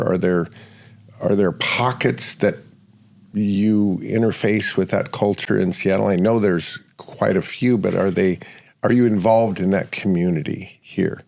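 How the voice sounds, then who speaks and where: Slow, gravelly-voiced man hosting. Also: deep voice, a little mellow. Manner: halting, many restarts and fillers. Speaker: podcast host. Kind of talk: reflective interview conversation. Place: Seattle area studio.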